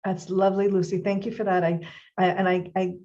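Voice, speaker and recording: A woman's voice: medium pitch, flat delivery, fairly quick, mellow, highs cut off. A woman hosting a live-streamed event, greeting the audience directly in an upbeat way. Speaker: host.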